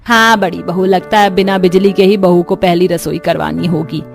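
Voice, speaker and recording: A woman's voice: lowish voice, steady tone, normal speed, creaky, soft background music. A woman voicing a fussy, matronly mother-in-law in a cartoon family story. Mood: concerned. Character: middle-aged or older mother-in-law, fussy matriarch.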